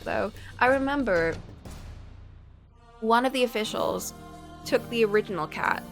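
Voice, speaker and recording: A woman streamer with a chatty, giggly manner, switching between casual talk and reading a story aloud. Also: medium pitch. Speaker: livestream host reading a visual novel aloud. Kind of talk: casual chat and read-aloud story narration. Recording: background music.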